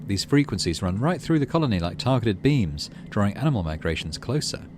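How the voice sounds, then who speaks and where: A man's voice: fairly low pitch, very animated, brisk, background noise. A man hosting a gaming video, reading story text aloud and adding muttered asides as he plays.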